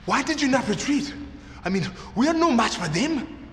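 An actor playing a weary, alarmed soldier who survived an attack, speaking with halting urgency in a video game cutscene. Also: male voice, very high-pitched, sing-song. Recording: echoey hall, faint background noise.

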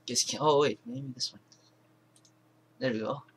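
A man presenting an informal software tutorial, thinking aloud in a halting, rambling way and correcting himself as he goes. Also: mid-range voice, steady tone. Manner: halting, rambling, self-correcting, mumbled asides. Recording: clear background noise, keyboard and mouse clicks.

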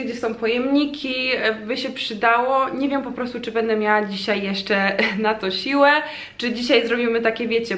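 A woman's voice: on the high side, a bit bright. A young woman from Poland in her twenties, chatting casually to her viewers in a clean-with-me vlog, recorded on a compact camera mic in her home kitchen. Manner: chatty, addresses viewers directly, frequent fillers. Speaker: vlogger. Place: home kitchen and living room, indoors. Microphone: compact vlogging camera mic.

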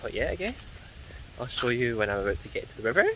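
A man's voice: medium pitch, very animated, fast talker, a bit bright, a little hiss or hum, low-quality recording. A young man chatting casually and upbeat as he films his travel vlog on a phone held at arm's length outdoors. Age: young adult. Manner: chatty, upbeat, rambling asides. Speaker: travel vlogger. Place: outdoors at temples and parks. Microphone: phone camera at arm's length.

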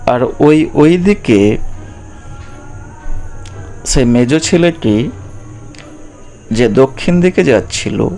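A man with a medium-pitched voice narrates a children's story. Music plays under his storytelling. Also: lively intonation, drawn-out words, gravelly, pauses often, dark tone.

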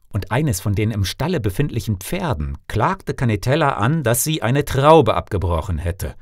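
A man reading a fairy tale as a storyteller, expressive, theatrical and deliberate. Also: lowish voice, no echo, clean studio sound. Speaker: storyteller, actor.

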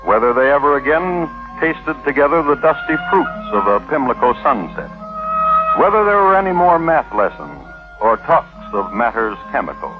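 Man as old timey 50s radio crime narrator, dry n droll, dramatic pauses, very animated pitch, dull audio. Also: lightly acted. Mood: wry, solemn. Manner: deliberate, dry, theatrical pauses. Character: droll, solemn male crime historian narrator. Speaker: host and narrator. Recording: muffled vintage radio recording, music behind.

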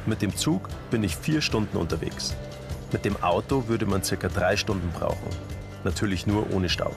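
A man narrating a documentary voice-over in a calm, matter-of-fact way. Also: fairly low pitch, gravelly. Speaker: documentary presenter. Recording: voice-over with music bed.

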